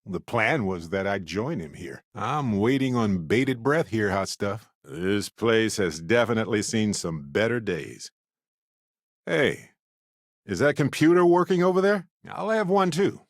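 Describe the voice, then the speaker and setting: Game companion, a chatty, good-hearted wisecracking spacefarer; lowish voice, very animated delivery, close dry sound. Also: male voice, measured pace, dry recording, heavily acted. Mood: excited, pressing. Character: upbeat, wisecracking, warm-hearted male space explorer companion. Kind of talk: scripted video game companion dialogue.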